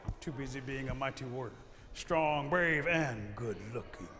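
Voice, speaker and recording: A man's voice: medium pitch, animated, normal speed, sharp tone. A man voicing a small, picked-on turtle who whines and boasts in an animal folktale, with emphatic exclamations. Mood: boastful. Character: small male turtle, picked-on, whiny, turning boastful mighty warrior. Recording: long hall reverb, some noise.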